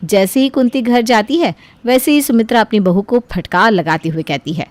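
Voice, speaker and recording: Higher-voiced woman narrating a story. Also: moderate pace, dry recording.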